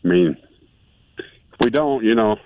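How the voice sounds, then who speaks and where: A man's voice: on the low side, expressive, measured pace, darkish tone, dry recording. A man calling in to a talk radio show, folksy and repetitive. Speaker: caller. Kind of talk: phone-in call. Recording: tinny phone line.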